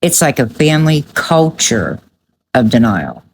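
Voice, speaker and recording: A woman's voice: extremely low pitch, very animated, a little slow, slight background noise. A woman delivering conversational true crime commentary in a blunt, emphatic and judgmental way.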